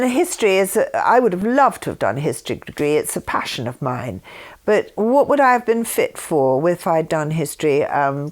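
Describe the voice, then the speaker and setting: An older woman reading written messages aloud with informal asides, speaking in an earnest way. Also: medium pitch. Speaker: reader and speaker.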